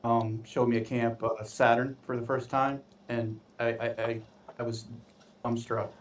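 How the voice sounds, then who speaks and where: A man's voice: medium pitch, level tone, measured pace. Guy chatting on a stream, far from the mic, echoey room. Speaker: guest on a livestream. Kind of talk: casual chat.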